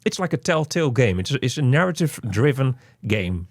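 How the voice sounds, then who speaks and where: Man, game reviewer, casual and rambling, animated delivery, mid-pitched voice, dry close sound. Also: a little fast, dry recording. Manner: animated, rambling, repeats "you know".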